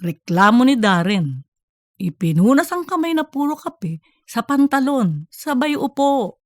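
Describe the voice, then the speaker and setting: A woman's voice: high voice, big pitch swings, measured pace, no room sound, studio quality. A woman narrating a scripted comic audiobook story.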